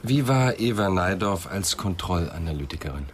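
An actor playing a calm, probing police inspector in a German radio crime drama, questioning soberly and deliberately. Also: male voice, low-pitched, a little hiss or hum.

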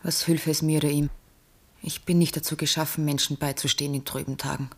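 A woman's voice: low voice, flat delivery, fairly quick, husky. An actress playing a fatalistic young lady in a German radio drama, speaking in a cool, self-possessed manner. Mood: fatalistic, detached. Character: detached, fatalistic young lady, daughter of the house.

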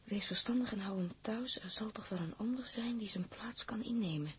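A woman's voice: medium pitch, a little fast, lightly acted. Worried seaman's wife, acted by a woman, faint noise. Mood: grave, reading aloud. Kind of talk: Dutch broadcast drama acting.

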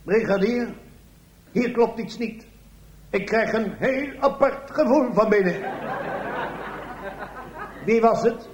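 A man's voice: high voice, slow, pauses often, crowd noise, pitched above the performer's own voice. A man playing a whiny, bumbling police inspector who exclaims and questions in a comic radio play. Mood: baffled. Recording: echoey room, faint noise.

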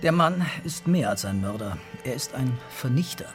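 An actor playing a brooding police detective in a German radio crime drama, terse, pensive and understated. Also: male voice, mid-range voice, lively intonation, normal speed, over music, close to an everyday voice.

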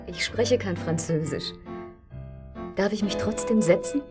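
A woman's voice: mid-range voice, a little slow, music in the background, close to an everyday voice. An actress playing a self-possessed, teasing young woman in a radio drama, dry and direct, with speech. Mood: composed, direct. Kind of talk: German radio drama acting.

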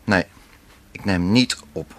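An actor playing an irritable, exasperated boyfriend in a hurry, in a radio drama. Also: male voice, deep voice, slow pace, background noise. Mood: irritated. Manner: snappy, exasperated. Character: impatient, irritable boyfriend rushing to pack for a trip.

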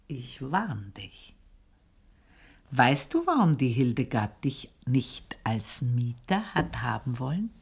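An older actress playing an old, scheming maidservant in a radio drama, brooding and intense, dropping into whispers. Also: female voice, deep voice, a little mellow, husky, heavily acted. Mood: warning. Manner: brooding, intense, shifts between whispers and outbursts. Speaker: old maid narrating her life. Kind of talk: 1980s radio drama acting.

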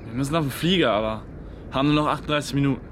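A practical young man in a radio drama, played by an actor, talking fast at a medium pitch. He is dry and sardonic, with clear transit noise behind him. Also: natural voice. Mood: sardonic worry. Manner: colloquial, dry. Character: quick young male traveller, practical, sardonic. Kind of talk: naturalistic radio drama acting. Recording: noisy urban transit background.

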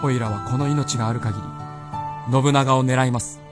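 An actor playing an earnest, polite, determined young peasant youth, sincere and respectful, in a period radio drama. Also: male voice, mid-range voice, fairly even intonation, moderate pace, over music, natural voice. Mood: resolute. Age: young adult.

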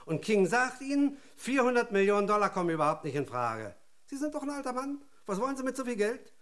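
A brash, greedy lawyer for a radio drama. The voice is high and leaps around animatedly. He bullies and haggles in impatient slang. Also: sing-song, a little fast, fairly bright tone, exaggerated voice. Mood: impatient haggling. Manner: slangy, bullying, hustling. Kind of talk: German radio drama acting.

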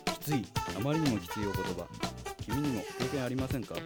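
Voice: medium pitch, animated, brisk, natural voice. A performer playing an earnest, wounded young man with melodramatic delivery in a comic radio commercial. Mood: wounded, dramatic. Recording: loud music over voice.

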